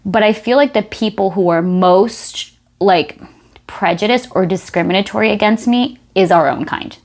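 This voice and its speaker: Comedian lady guesting on a podcast, animated, acts out little convos. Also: mid-range voice, moderate pace. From United States. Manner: animated, acts out quoted exchanges. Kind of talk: casual podcast chat.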